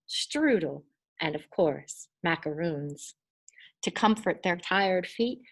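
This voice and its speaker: A woman reading a children's story aloud in an expressive, deliberate and emphatic storytelling style. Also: medium pitch. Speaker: storybook reader.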